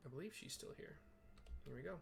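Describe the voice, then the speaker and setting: A man with a creaky, mid-pitched voice talks through a video game as he plays. His commentary is casual and halting, as if thinking aloud, with faint music behind it. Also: animated.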